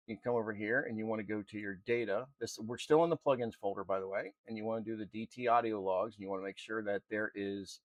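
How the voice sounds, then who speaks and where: A man with a lowish voice presents an informal software tutorial. He goes step by step in a halting, unscripted way. The sound is dry, with very little room in it. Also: no room sound. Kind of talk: unscripted screen-recorded walkthrough.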